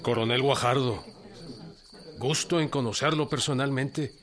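An actor with a Mexican accent playing a dignified, reserved revolutionary general, terse yet gracious, in a radio drama. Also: male voice, medium pitch, fairly bright tone, natural voice. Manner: unhurried, terse.

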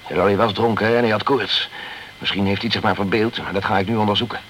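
An actor playing a pushy, urgent male investigator in a radio crime drama, speaking in a brisk, commanding, insistent way. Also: lowish voice, fairly even intonation, fairly bright tone, natural voice. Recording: noisy old radio play recording.

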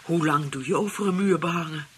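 An actress playing a grasping, sweetly hypocritical wife in a radio play, with theatrical delivery. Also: female voice, low-pitched, a little fast, slight background noise, natural voice. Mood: calculating. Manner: theatrical, exclaiming, prompting.

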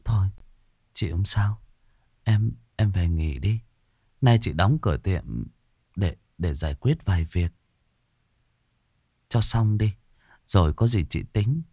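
A man's voice: low-pitched, animated, slow pace, pauses often, dark tone, slightly rough, no room sound, studio quality, heavily acted. A man voicing a shaken, weary shop owner woman in a horror story, stammering and pleading. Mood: drained, weary.

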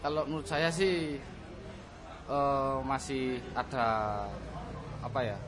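A man with a Javanese accent talks in a casual, colloquial and deferential way, like a musician being interviewed. His voice sits at a middling pitch, with clear crowd noise around him. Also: slow. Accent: Javanese-accented Indonesian. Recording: busy crowd noise, public venue.

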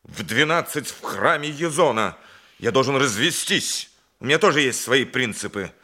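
A man's voice: mid-range voice, expressive, moderate pace, sharp tone. An actor playing an aggrieved, self-pitying male dentist, complaining melodramatically in theatrical audio drama dialogue. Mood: despairing.

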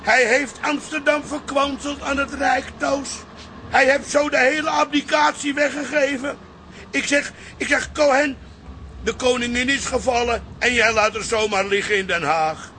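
A man's voice: very high voice, fairly even intonation, moderate pace, sharp tone, slight background noise. An actor playing an indignant, boastful old activist father in a radio drama, declaiming self-importantly. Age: older.

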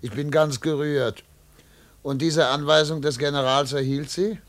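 An actor playing a sardonic, probing questioner in a German radio play, speaking deliberately. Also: male voice, on the high side, steady tone, natural voice. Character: sardonic, probing male interviewer questioning a former official.

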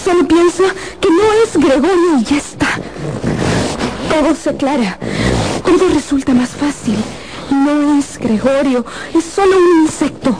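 A high-pitched young daughter in a radio drama. She is worn out and hardened, insisting emphatically on her point. There is clear noise behind her voice. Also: unhurried. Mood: cold, insistent. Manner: emphatic, insistent, repeating herself. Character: exhausted, hardened young daughter rejecting her insect brother. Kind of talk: Spanish-language radio drama acting. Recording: clearly noisy background.

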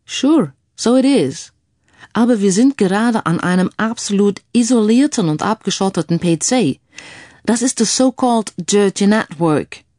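A woman voicing a calm male IT security expert who explains things in a mildly schoolmasterly way, mixing English phrases into the talk. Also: medium pitch, measured pace, no room sound, clean studio sound, close to an everyday voice. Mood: matter-of-fact, relaxed. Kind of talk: audiobook character dialogue.

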